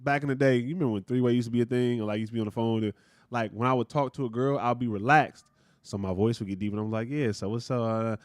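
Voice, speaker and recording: A man with a deep voice chats casually as a podcast co-host, animated and full of slang. His delivery is lively, and the sound is dry and close. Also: quick pace, no room sound. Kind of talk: casual chat.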